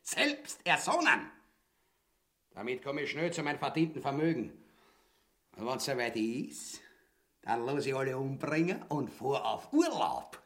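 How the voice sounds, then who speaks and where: A man's voice: mid-range voice, very animated, unhurried, pauses often, fairly bright tone. An actor playing a greedy, brutal usurper king in a farcical radio play, pompous and blustering, with a Viennese accent. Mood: smug, bragging. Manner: pompous, blustering, barking orders. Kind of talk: farcical radio drama acting.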